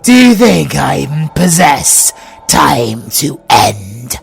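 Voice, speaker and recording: A man playing a sadistic, hungry vampire villain in an audio roleplay, with theatrical, savouring menace and a gravelly voice. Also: a little high, big pitch swings, soft background music, exaggerated voice. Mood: menacing. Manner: slow, savouring, menacing delivery.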